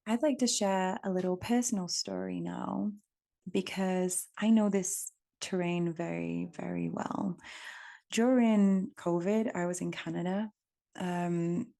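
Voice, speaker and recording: A woman from England with an English accent, hosting a reflective solo podcast in a gentle, unhurried, contemplative way. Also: fairly low pitch, fairly even intonation, vocal fry. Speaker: podcast host.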